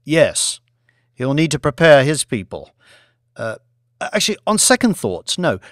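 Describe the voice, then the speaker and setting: A male reader acts out a commanding executive in an audiobook thriller. He is coolly scheming and gives orders insistently, with an animated pitch. The recording is very dry. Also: unhurried, no echo. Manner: insistent, decisive, giving orders.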